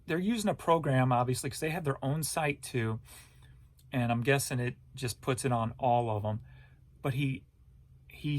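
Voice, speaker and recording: An American man with a medium-pitched voice chats casually as a reseller vlogger. He rambles in an informal, folksy way, thinking out loud as he goes. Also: no echo. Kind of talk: casual rambling update chat.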